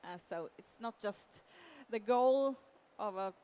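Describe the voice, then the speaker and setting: A woman giving a semi-improvised conference presentation as a literary translator, halting and often repeating words as she searches for phrasing. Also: medium pitch, lively intonation, a little mellow. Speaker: literary translator, panel speaker. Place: live talk at a book fair event. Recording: clear background noise.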